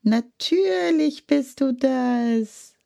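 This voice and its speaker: A woman's voice: medium pitch, expressive, slow, vocal fry, darkish tone, voice pushed up, heavily acted. A woman voicing a grumpy young hen who grumbles and answers sarcastically, in a storybook reading. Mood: sarcastic.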